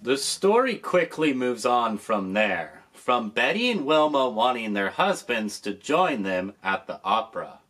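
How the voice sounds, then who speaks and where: Slow, lively-voiced nostalgic host. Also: male voice, medium pitch. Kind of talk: nostalgic commentary.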